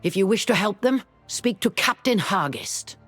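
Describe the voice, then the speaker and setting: An actor of unclear sex playing a grave, learned leader in a fantasy video game, formal and measured. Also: medium pitch, expressive, a bit bright, faint music behind, lightly acted. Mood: concerned, advising. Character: grave, learned leader worried for a threatened region. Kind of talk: dramatic video game character acting.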